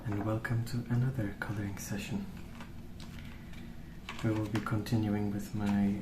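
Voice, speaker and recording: A man rambling softly in an ASMR style, hushed, hesitant and unhurried. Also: on the low side, level tone. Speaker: ASMR video host. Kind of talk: soft-spoken ASMR rambling. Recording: roomy, distant mic with clear noise.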